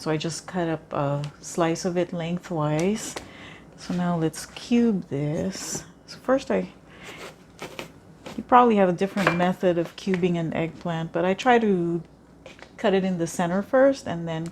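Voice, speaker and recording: A woman from the Philippines, a Tagalog speaker at heart, talks her way through a recipe at an unhurried pace, pausing on fillers as she thinks. Her voice sits a little low, and pots, pans and cutlery clatter clearly around her in a home kitchen. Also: darkish tone. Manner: chatty, thinking aloud with many fillers. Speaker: cooking vlogger. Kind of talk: casual cooking demonstration. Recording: clear kitchen noise behind.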